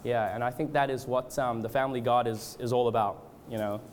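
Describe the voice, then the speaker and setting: A man from Australia and Canada with a cold, speaking as a preacher in an informal, halting, hesitant way. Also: mid-range voice, fairly even intonation, a little hiss or hum. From born in Australia, moved to Canada. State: has a cold. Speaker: guest preacher at a church revival gathering. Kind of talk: informal sermon with congregational prayer.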